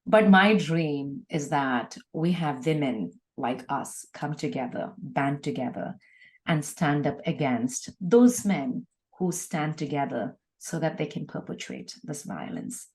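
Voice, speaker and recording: A woman's voice: low voice, lively intonation, takes it slowly, a little mellow. A woman speaking as a podcast guest, earnest and emphatic, building encouragement through repeated phrases. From India. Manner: earnest, emphatic, with rhetorical repetition. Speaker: podcast guest, ministry leader. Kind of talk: conversational interview, encouraging testimony.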